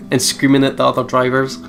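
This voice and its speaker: A man with a medium-pitched voice hosts a let's play. His commentary is casual and conversational, over faint music. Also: monotone, normal speed, vocal fry, a bit bright.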